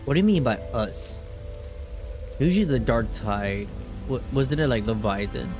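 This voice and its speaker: A man's voice: mid-range voice, expressive, moderate pace. A man doing casual, slangy live reaction commentary as he plays a game, swearing now and then. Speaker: gaming streamer reacting to a game. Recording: game music behind the voice. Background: game music and rain sounds.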